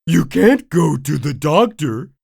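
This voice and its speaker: Woman voicing dim starfish buddy: raised pitch, booming, slow, dopey, dry read. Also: lively intonation, vocal fry, a little mellow, husky, no echo, heavily acted. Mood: dopey, loud. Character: dim-witted friendly male starfish, loud.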